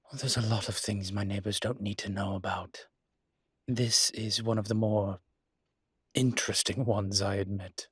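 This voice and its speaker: A weary male demon played by a man speaks in a low, very husky and breathy voice. He is guarded and dry, picking through halting phrases in an intimate audio roleplay, recorded close with no room sound. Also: steady tone, fairly bright tone, no room sound.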